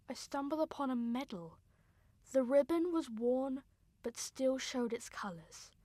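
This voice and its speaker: A woman playing a grieving young sister, narrating a radio drama in an earnest, sombre, deliberate way. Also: high voice, darkish tone, dry recording.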